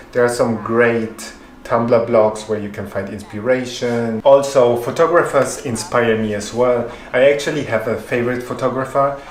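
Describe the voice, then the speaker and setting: A man in his twenties, a native Spanish speaker raised in Germany, reading out fan questions and answering them calmly in a vlog. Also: mid-range voice, echoey room. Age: 20s. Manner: reads each question aloud, then answers in a calm, even way. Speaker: fashion YouTuber. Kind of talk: Q&A vlog narration.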